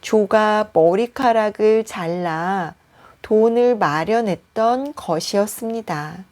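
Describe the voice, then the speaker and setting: A woman reading a bedtime story for language learners as a teacher, with careful phrasing and frequent pauses. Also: mid-range voice, darkish tone, a little hiss or hum.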